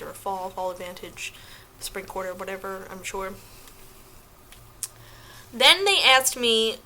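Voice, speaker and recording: A young woman with a bright, forward voice talks casually to her viewers. Her delivery is informal and animated, like a vlogger giving advice to friends. A faint noise sits behind her voice. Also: medium pitch, low-quality recording. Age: young adult. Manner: informal and animated, slangy asides, acts out quoted questions. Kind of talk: casual chatty vlog to viewers.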